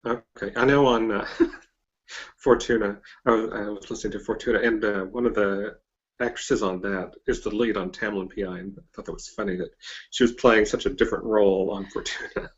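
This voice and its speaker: A man hosting a casual interview, speaking in a hesitant, halting way and often repeating himself. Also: on the low side, steady tone, lo-fi sound. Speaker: podcast interviewer, host.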